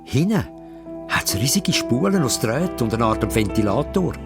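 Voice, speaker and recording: A man's voice: mid-range voice, big pitch swings, normal speed, gravelly, over music. A man with a Swiss accent narrating a children's radio play as a dramatic storyteller. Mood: suspenseful, animated. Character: lively storyteller of a children's adventure.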